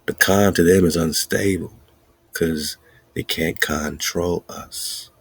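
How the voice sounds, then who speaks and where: Casting: deep, gravelly male podcast host, rambling and excitable, husky tone, dry close sound. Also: takes it slowly, no room sound. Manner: excited, rambling, laughs often, wordplay. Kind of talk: casual commentary.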